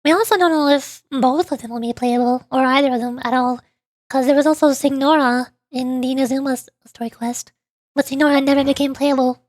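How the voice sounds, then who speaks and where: A woman's voice: high-pitched, fairly even intonation, fairly quick, a little mellow, no room sound, clean studio sound. A woman streaming a game, chatting casually and playfully with her viewers. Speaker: VTuber streamer. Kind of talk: casual live-stream gameplay chat. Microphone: desk microphone.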